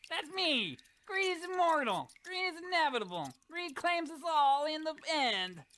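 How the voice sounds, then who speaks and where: Bright, piercing, gloating villain. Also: female voice, high voice, sing-song, normal speed. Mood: gloating, menacing. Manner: grandiose, menacing, theatrical. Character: gloating immortal swindler villain, greed personified. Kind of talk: old-time radio comedy acting.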